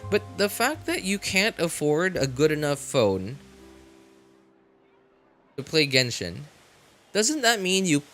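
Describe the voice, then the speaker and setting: A man's voice: on the high side, sing-song, slow, pauses often, a bit bright. A man chatting casually on a livestream as a game streamer, rambling in slangy talk and often repeating his phrases. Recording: music behind the voice. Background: background music.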